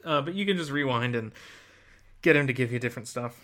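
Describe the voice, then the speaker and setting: A man's voice: mid-range voice, fast talker, fairly bright tone. A man co-hosting a podcast, chatting casually about video games. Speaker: podcast host. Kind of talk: casual video game chat.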